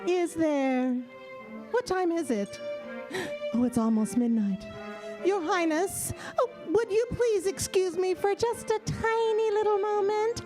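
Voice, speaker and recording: A woman's voice: extremely high pitch, slow pace, music in the background. A woman playing a sweet, wishful young fairy-tale heroine in a children's audio play, earnest and pleading. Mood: anxious. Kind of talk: children's audio theater acting.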